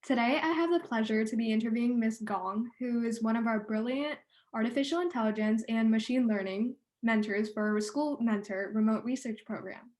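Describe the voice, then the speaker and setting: A woman's voice: fairly high pitch, a little fast, few pauses, darkish tone. A young woman working as an intern, politely reading prepared interview questions.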